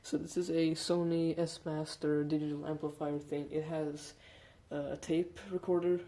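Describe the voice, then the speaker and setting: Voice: mid-range voice, little pitch movement, normal speed, mellow. A hobbyist presenter giving a casual hands-on demonstration, explaining things informally step by step.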